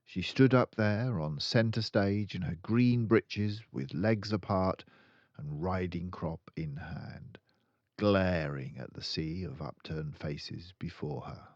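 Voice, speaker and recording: An older man reading a children's story aloud, deliberate and dramatic in his narration. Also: deep voice, a little mellow. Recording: slightly dull, roomy home recording.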